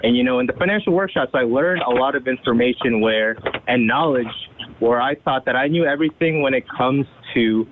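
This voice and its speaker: A young man presenting himself to a group on a conference call. He talks quickly and chattily and keeps checking in with "right?" and "okay?". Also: medium pitch, a little hiss or hum. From Saudi Arabia. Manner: fast, chatty, uses "right?" and "okay?" often. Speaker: guest presenter. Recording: tinny phone line.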